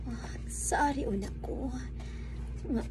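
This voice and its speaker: A woman's voice: high voice, slow pace, over music. An actress with a Filipino Bisaya accent playing a woman addressed as ma'am in a radio drama. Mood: hesitant.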